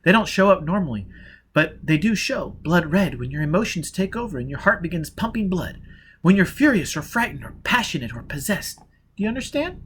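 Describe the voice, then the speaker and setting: A man's voice: sits high, big pitch swings, brisk, fairly bright tone, a little hiss or hum, pitched above the performer's own voice. A man voicing a frightened young woman who pleads urgently. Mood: pleading.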